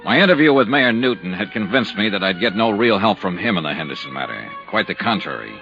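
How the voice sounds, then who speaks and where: A man's voice: on the low side, sing-song, fast talker. An actor playing a wry male insurance investigator who narrates a case in an old radio drama. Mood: dry. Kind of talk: 1950s radio drama acting. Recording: dull old radio recording with music.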